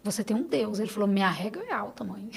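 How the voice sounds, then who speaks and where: A woman's voice: mid-range voice, expressive, quick pace, creaky, darkish tone, husky. A middle-aged Brazilian woman speaking Portuguese as a podcast guest, in casual, earnest personal conversation, repeating phrases for emphasis.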